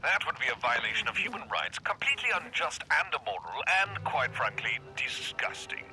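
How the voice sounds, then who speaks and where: An actor playing a smug, callous, ultra-rich tycoon who speaks in a pompous, condescending, theatrical way, as if interviewed on satirical radio. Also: male voice, mid-range voice, big pitch swings, moderate pace, sharp tone, slight background noise, rough recording. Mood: smug, lecturing. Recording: music behind the voice.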